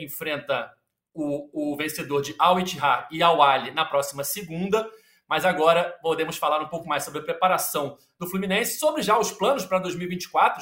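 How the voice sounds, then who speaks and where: Male podcast host, slightly high, casual and rambling, echoey room. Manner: lively, many fillers and restarts. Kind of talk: sports podcast chat.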